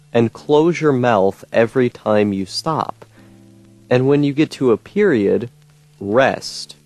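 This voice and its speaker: Young guy rambling to his vlog cam, low droning monotone voice, keeps saying um and cussing at himself. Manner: rambling, frustrated, many ums and restarts, swearing. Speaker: YouTuber. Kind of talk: personal monologue.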